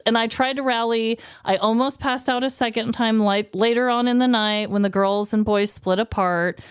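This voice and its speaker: A woman's voice: a little high, fairly even intonation, moderate pace, clear, resonant tone, dry recording, studio quality. A woman co-hosting a podcast, telling stories casually and comically in an informal, giggly manner. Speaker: podcast co-host. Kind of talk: casual comedic storytelling chat.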